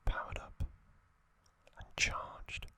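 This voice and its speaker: A man whispers an ASMR recap with no voiced tone at all. His delivery is very slow and deliberate, broken into short phrases with pauses. The whisper is breathy and crisp on top, staying even throughout. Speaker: ASMR host.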